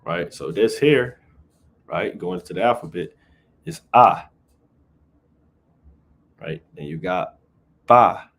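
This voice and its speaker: A man's voice: low voice, expressive, takes it slowly, long pauses, a bit bright. A man teaching scripture informally on a livestream, reading verses aloud, then explaining them casually and tagging nearly every phrase with "right?". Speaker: Bible teacher.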